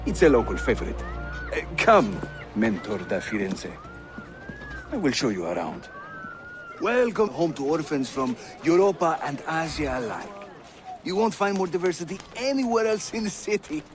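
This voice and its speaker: A jovial Turkish assassin leader in a video game, with a fairly high male voice that swings freely in pitch. He speaks with a Turkish accent and a witty, teasing flourish, over loud music. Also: moderate pace. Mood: jovial welcoming. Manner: lively, teasing, theatrical storytelling delivery. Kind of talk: video game character acting.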